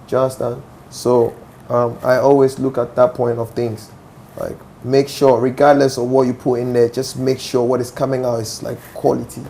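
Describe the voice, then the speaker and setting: Ghanaian male musician, young, gravelly, insistent interview talk. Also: mid-range voice, little pitch movement, normal speed, mellow, slight background noise. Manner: assertive, with frequent "do you understand?" asides. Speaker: musician guest. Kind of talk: interview answers.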